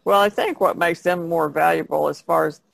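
A woman's voice: lowish voice, normal speed, vocal fry, no room sound. A woman speaking plainly and matter-of-factly as an artist in a casual podcast discussion.

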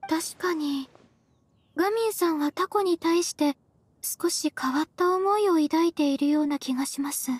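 A woman voicing a gentle young woman in a game, soft and girlish, courteous and careful in her speech. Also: very high voice, moderate pace, dry recording. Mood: explaining politely. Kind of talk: game voice acting.

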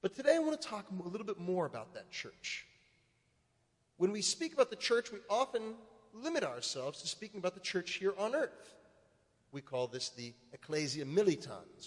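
A male priest preaching a sermon, building to emphatic, rising points. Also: high-pitched. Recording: reverberant church hall.

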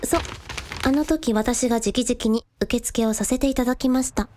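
Woman playing a high-voiced, considerate younger sister. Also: normal speed, mellow, a little hiss or hum, natural voice. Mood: gentle. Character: considerate, composed youngest sister, gently wry. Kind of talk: radio drama acting.

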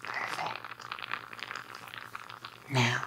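A young woman doing a softly menacing, possessive girlfriend ASMR roleplay in a controlling, mostly whispered voice. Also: a bass voice, big pitch swings, vocal fry, very noisy. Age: young adult. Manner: soft, slow, controlling girlfriend act. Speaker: ASMR performer. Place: small dim room indoors.

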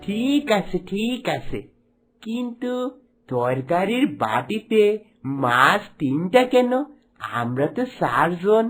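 A woman playing a devoted son and stern husband, dramatic and deliberate, in a cartoon melodrama with music underneath. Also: fairly low pitch, big pitch swings, full character voice. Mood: suspicious.

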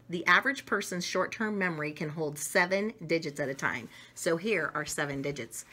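A middle-aged woman reading a picture book aloud to children, expressive and enthusiastic, with chatty asides to her listeners. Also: lowish voice, breathy edge. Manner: enthusiastic, expressive, talks directly to young listeners. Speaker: read-aloud storyteller. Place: small domestic room indoors.

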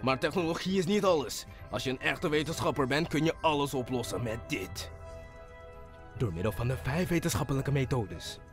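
A man's voice: mid-range voice, expressive, moderate pace, music in the background, pitched below the performer's own voice, close to an everyday voice. A man in an amateur cartoon fandub voicing a strict adult science teacher who scolds his students. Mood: stern. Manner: stern, scolding.

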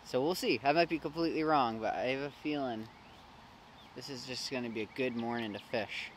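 A man's voice: mid-range voice, brisk. Young American man, noisy vlog recording. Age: young adult. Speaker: fishing vlogger. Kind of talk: casual vlog chat to camera. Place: outdoors by a river, sometimes in a car. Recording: outdoor recording with clear background noise.

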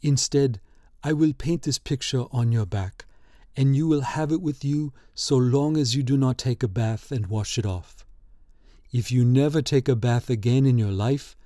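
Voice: medium pitch, normal speed, warm tone, dry recording, studio quality, natural voice. A storybook reader voicing a skeptical, dismissive young painter in a near-ordinary voice.

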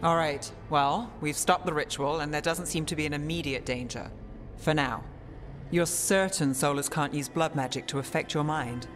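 A cautious, skeptical woman mage, played by a woman in a fantasy game, her words measured and dry. Her voice rings with a long hall reverb. Clear music plays behind her. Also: low-pitched, steady tone, lightly acted. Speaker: party companion. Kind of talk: video game voice acting.

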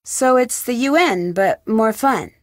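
An inquisitive young female detective from a video game, with a fairly high voice and very lively intonation. She puts her questions and replies crisply, with a dry wit, in a close, dry recording. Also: a little slow, no room sound. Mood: wry, curious. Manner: inquisitive, crisp, lightly wry. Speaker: video game lead character.

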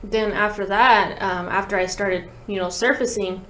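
A woman's voice: medium pitch, fairly even intonation, normal speed, a bit bright. A young woman, a guest musician, talking informally and slangily in a casual podcast interview at a desk microphone. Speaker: guest musician, talk box player. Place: small indoor podcast studio. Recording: roomy echo, background noise.

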